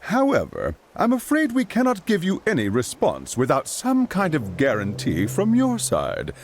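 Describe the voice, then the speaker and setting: An oily, swooping villain's aide. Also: male voice, wildly swooping pitch, moderate pace, soft background music. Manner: oily, wheedling, pitch swooping widely. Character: sly male aide to a count, fawning and cunning. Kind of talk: dubbed video game cutscene.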